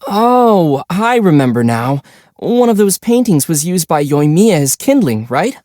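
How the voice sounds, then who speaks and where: Mellow young adventurer. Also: male voice, a little high, sing-song, no room sound, studio quality. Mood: wry. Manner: easygoing, measured, gently wry. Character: young male adventurer, calm, wry, gently teasing. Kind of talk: video game character dialogue.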